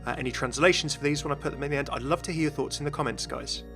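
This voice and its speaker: Male gaming host, quick, bright, casual enthusiastic commentary, faint music bed. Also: a little high, vocal fry.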